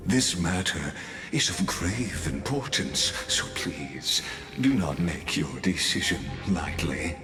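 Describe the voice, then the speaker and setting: Very deep, very slow enigmatic schemer. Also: male voice. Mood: solemn. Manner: formal, measured, grandiloquent, deliberate pauses. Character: enigmatic, calculating male cosmic wanderer offering sinister bargains. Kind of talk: scripted video game character dialogue. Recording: echoey, with music underneath. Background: background music.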